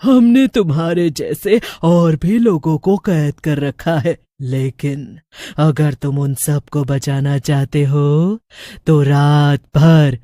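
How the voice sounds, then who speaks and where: A woman voicing a gloating, menacing witch leader in a cartoon horror story, taunting in drawn-out commands with cackles. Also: low voice, mellow, no echo, clean studio sound, voice pushed down. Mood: gleeful menace. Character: gloating, menacing female witch leading her coven.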